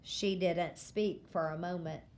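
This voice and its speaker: Teacher lady reading a chapter aloud real slow, stops to quiz the class. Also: lowish voice, steady tone, darkish tone, a little hiss or hum. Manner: slow, deliberate, stopping to quiz listeners. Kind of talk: reading a novel aloud with comprehension questions.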